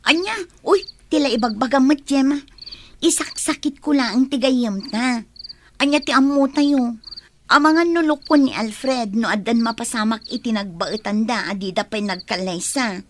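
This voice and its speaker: A woman's voice: fairly high pitch, normal speed, slight background noise, natural voice. A woman acting an anxious, easily startled young woman with an Ilocano accent in a radio drama. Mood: alarmed, reproachful.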